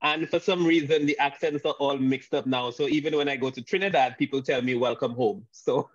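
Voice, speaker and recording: A man from Saint Lucia gives a panel talk over a video call, halting, with frequent restarts and fillers. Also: mid-range voice, steady tone, no room sound. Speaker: guest speaker. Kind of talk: online panel presentation. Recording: dull lo-fi video call audio.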